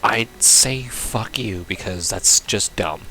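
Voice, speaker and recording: A man's voice: fairly low pitch, slow pace, pushed and bright, slight background noise. A man playing through a visual novel, reading it aloud and commenting casually with sardonic, blunt reactions. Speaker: let's player. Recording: music behind the voice.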